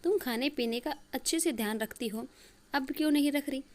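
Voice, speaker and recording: A woman's voice: sits high, fairly quick, darkish tone, pitched above the performer's own voice, lightly acted. A woman voicing a concerned young husband who chides his wife in a story cartoon. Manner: chiding.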